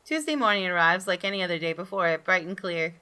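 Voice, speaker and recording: A woman hosting a gaming video, chatting casually and reading game text aloud in an animated, informal way. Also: on the low side, fast talker, smooth. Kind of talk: casual commentary and read-aloud of visual novel text. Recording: faint game music behind. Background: soft game music.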